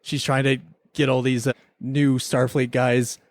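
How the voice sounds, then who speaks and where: A man's voice: medium pitch, little pitch movement, unhurried, no room sound, clean studio sound. A man talking casually as a podcast co-host, giving his review of a TV episode.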